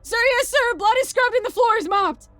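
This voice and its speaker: A woman voicing an eager, obedient, excitable private with snappy military replies in a comic space story. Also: sits high, moderate pace, dry recording, faint music behind, close to an everyday voice.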